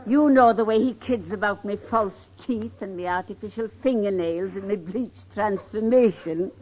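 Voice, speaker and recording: An actress playing a vain, good-humored middle-aged Irish neighbor in an old radio sitcom. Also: female voice, medium pitch, moderate pace, natural voice. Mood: chatty, coy. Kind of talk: 1940s-50s radio sitcom acting. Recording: dull, noisy old radio recording.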